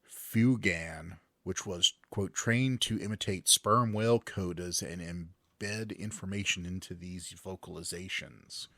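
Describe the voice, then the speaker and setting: A man's voice: low voice, no room sound. A man co-hosting a casual podcast, reading news stories aloud and joking around. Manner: jokey, breaks into loud silly voices.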